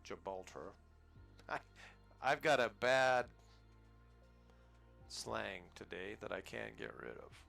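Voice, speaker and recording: A man's voice: on the low side, slow, gravelly, long pauses, fairly bright tone, faint music behind. An American man casually commenting on his flight simulator gameplay in a rambling, easygoing way, chuckling at himself now and then. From United States. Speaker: flight sim gaming channel host.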